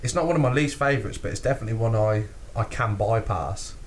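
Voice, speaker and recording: A man's voice: on the low side, fast talker, a little hiss or hum. A British man co-hosting a casual podcast, swearing freely, laughing often and acting out lines. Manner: animated, sweary, laughs often, acts out quotes. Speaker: podcast co-host.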